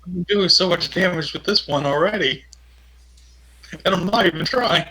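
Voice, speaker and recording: A man's voice: high-pitched, moderate pace, pushed and bright, slightly rough, rough recording. A man chatting casually as a player in a tabletop role-playing game.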